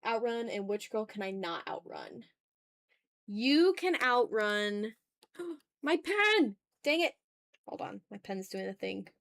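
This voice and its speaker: A woman's voice: a little high, creaky, dry recording. A woman hosting a casual art livestream, chatting in a playful, slangy, exclamatory way while she draws, close to the mic.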